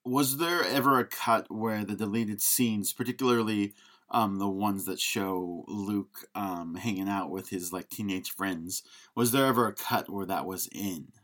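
A man with a slightly low voice co-hosts a casual film podcast. He speaks informally and hesitantly, working out his opinions as he talks. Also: measured pace, fairly bright tone. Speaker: podcast co-host.

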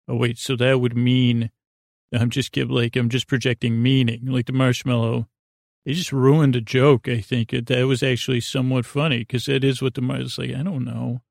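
Male sleep-podcast host, creaky mid-range voice, lulling and meandering delivery, very dry close recording. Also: mid-range voice, little pitch movement, no room sound. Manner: mellow, lulling, halting with many tangents. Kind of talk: rambling, meandering bedtime monologue.

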